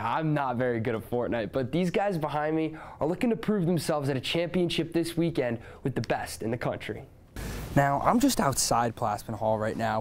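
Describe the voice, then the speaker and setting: A man's voice: on the high side, darkish tone, a little hiss or hum. A young American man delivering broadcast news as a student TV reporter, in a formal announcer-like manner. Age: young adult.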